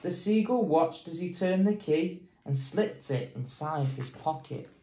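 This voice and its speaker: Young English male teacher, slow storybook reading, roomy echo on the camera sound. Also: on the high side, animated, warm tone. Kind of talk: picture book read-aloud. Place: plain indoor room.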